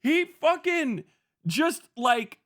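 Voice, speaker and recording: A male livestreamer riffs in a loose, profane rant and keeps cracking up in disbelief. His voice sits high and sounds bright and edgy. It is recorded dry and close, with no room sound. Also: very animated, very slow, dry recording. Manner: profane, incredulous, laughs often. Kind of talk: casual livestream commentary rant.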